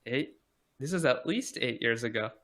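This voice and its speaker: A man's voice: medium pitch, expressive, moderate pace, fairly bright tone. A young man talking casually as an interview guest, rambling with many fillers and restarts. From United States. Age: young adult.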